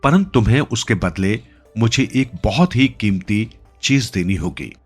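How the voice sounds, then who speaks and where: A man's voice: fairly low pitch, expressive, unhurried, slightly rough. A man voicing a stern, demanding male forest demon in a narrated storybook tale. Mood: bargaining. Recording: music behind the voice.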